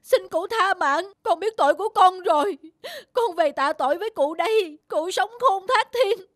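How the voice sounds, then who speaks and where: A woman voicing a dramatic, boastful, guilt-ridden young male hunter in an animated folk tale, given to exclaiming and talking to himself. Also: very high-pitched, normal speed, no room sound, studio quality. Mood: remorseful pleading. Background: music.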